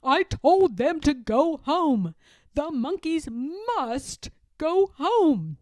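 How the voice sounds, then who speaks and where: A woman's voice: sits high, big pitch swings, drawn-out words, darkish tone, no room sound, clean studio sound, voice pushed up, exaggerated voice. A woman voicing an exasperated, fretful male peddler from a storybook, with an emphatic, wailing delivery. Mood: angry. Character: exasperated, fretful male cap peddler who loudly complains. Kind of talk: storybook character voice.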